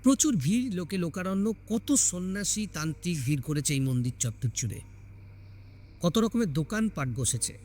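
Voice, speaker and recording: Guy doing dramatic story narration, voice a bit on the high side. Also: lively intonation, normal speed, darkish tone.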